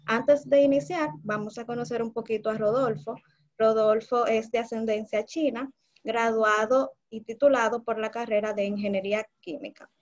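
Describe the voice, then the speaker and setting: A woman's voice: on the high side, steady tone, moderate pace. A woman hosting a government webinar, giving a polite, formal introduction over a video call. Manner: polite, announcing. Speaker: webinar host. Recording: dull lo-fi video call audio.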